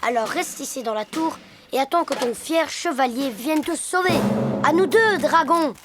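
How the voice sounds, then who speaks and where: A high, boyish cartoon voice plays over music. It is a kind little hippo boy, earnest and gallant, who explains things like a small knight in charge. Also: lively intonation, moderate pace. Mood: earnest, explaining. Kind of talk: children's cartoon dubbing. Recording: music behind the voice.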